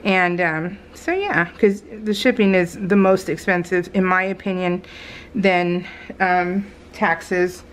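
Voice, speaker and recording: An American woman chatting casually as a craft vlogger, telling stories in a rambling, animated way and acting out what people said. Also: on the low side, takes it slowly, slight background noise. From California, United States. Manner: rambling, animated retelling with acted-out quotes. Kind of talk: casual chat while crafting, voiced in real time.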